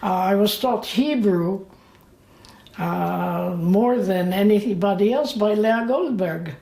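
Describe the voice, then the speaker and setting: A woman in her late eighties being interviewed about her memories. She talks haltingly, with many fillers and pauses. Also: mid-range voice, gravelly, warm tone. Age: late 80s. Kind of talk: slow, reflective memories. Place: indoors. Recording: roomy echo.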